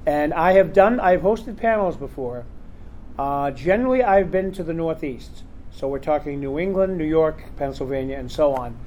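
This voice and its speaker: A man's voice: on the high side, expressive, normal speed, darkish tone, muffled recording, a little hiss or hum. Chatty man hosting a convention panel. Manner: rambling, chatty, full of fillers. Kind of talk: casual panel talk. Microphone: recorder in the room.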